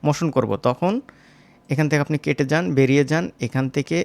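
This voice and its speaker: A man teaching as a software instructor, informal and step by step, often checking in with short tag questions. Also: a little high, a little fast, creaky, no echo. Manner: conversational, seeking agreement with short tag questions. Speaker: animation tutorial instructor.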